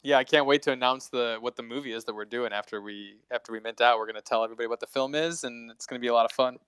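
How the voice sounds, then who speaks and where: Upbeat man, smooth dry voice. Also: mid-range voice, steady tone, racing, a bit bright, no room sound. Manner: upbeat, laughing, chatty. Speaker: guest from a movie club project. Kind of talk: casual chat on a live audio call.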